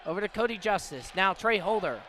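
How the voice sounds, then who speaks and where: A man's voice: high-pitched, expressive, a little fast, bright, forceful tone. A man doing live radio play-by-play as a sports announcer. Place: sports venue broadcast position. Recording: live sports broadcast with venue noise. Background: clear ambient venue noise.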